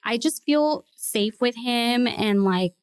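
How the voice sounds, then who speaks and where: A woman's voice: fairly high pitch, lively intonation, takes it slowly, smooth. A young woman co-hosting a podcast, talking casually and telling stories with lots of filler words. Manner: chatty, storytelling with many "like" fillers. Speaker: podcast co-host. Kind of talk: casual chat.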